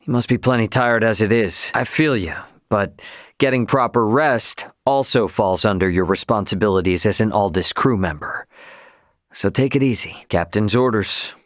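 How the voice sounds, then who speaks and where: A man's voice: lowish voice, normal speed, no room sound, lightly acted. Man playing a casual, self-assured starship captain, dry sound. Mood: friendly, assured. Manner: casual, plainspoken delivery with easy slang. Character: young brash male starship captain, casual, plainspoken, sure of himself. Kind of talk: video game voice acting.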